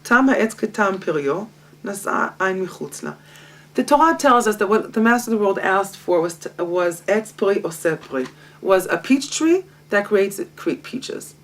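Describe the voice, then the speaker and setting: A woman, a Hebrew speaker, leads a Torah study session. Her voice sits at a middle pitch, and her delivery is lively and self-mocking, with frequent laughter. The mic is set some distance away in a home library. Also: normal speed. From Israel. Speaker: guest teacher.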